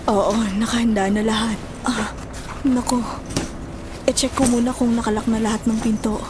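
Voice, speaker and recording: A woman playing a caring, protective stepmother in a Filipino audio drama, motherly and gently scolding. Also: fairly high pitch, flat delivery, moderate pace, noisy background, natural voice. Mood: busy.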